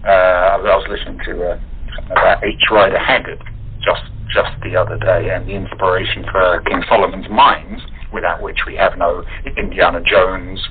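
A man chatting casually as an actor guest, with a wry, wordy, halting way of talking. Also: low-pitched, level tone, slight background noise. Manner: wry, wordy, hesitant delivery. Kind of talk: casual chat. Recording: muffled, narrow phone-like line.

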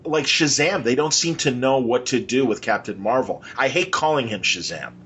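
A man's voice: mid-range voice, animated, moderate pace, highs cut off. Casual male podcast host, faint background noise. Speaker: podcast interviewer. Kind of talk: casual conversation about comics.

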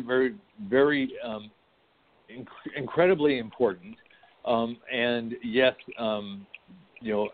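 Man hosting over a tinny line. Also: on the low side. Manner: hesitant, many ums. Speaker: host. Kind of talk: casual interview hosting. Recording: tinny phone-like line, faint noise.

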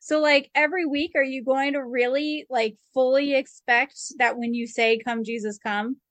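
Higher-voiced woman, dry close sound. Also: fairly even intonation, moderate pace, a bit bright, no echo. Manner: chatty, many "like" fillers. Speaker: co-host. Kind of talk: casual theological discussion.

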